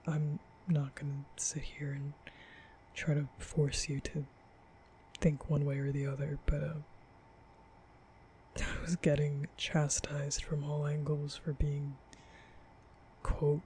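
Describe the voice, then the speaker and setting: A man playing a nervous, apologetic young vampire in an intimate ASMR roleplay, speaking breathily and often half-whispering, halting and stammering. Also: fairly high pitch, dark tone, a little hiss or hum. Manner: halting, stammering, rambling, sighing. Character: nervous, apologetic young male vampire, guilt-ridden and soft.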